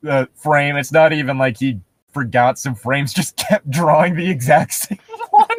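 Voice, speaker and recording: A man's voice: a little high, very animated, measured pace, no room sound, studio quality. A man co-hosting casual, joking commentary on stories he reads aloud, in an irreverent, sweary way. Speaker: co-host.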